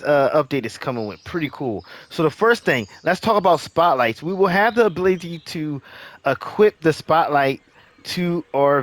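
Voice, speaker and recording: A man hosting a gaming channel, giving casual update commentary in a halting way, repeating phrases as he addresses viewers encouragingly. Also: on the high side, fairly bright tone, no echo.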